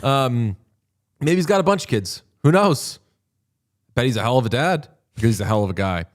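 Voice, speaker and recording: A man's voice: mid-range voice, expressive, moderate pace. A man co-hosting a hockey podcast, chatting casually and joking around. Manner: joking, riffing, does silly voices of players. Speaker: podcast co-host. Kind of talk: casual sports chat.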